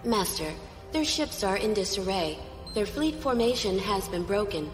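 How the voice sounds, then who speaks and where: A woman's voice: mid-range voice, very animated, close to an everyday voice. A woman playing an obedient, composed ship's aide who reports to her master formally in a space opera audio drama. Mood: dutiful, composed. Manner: formal, measured, deferential. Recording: echoing hall-like reverb, music underneath.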